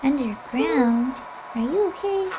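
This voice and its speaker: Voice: high-pitched, animated, slow pace, background noise. An actor playing an earnest, anxious young boy in a video game. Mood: alarmed. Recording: music under the voice.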